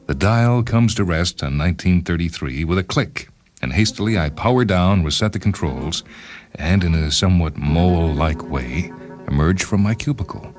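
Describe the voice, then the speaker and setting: Very deep, pedantic inventor, read by a man. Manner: pedantic, deliberate, self-absorbed. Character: obsessive thirty-two-year-old time-travelling inventor, pedantic, coolly murderous. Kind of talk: first-person dramatized short-story narration. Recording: music behind the reading.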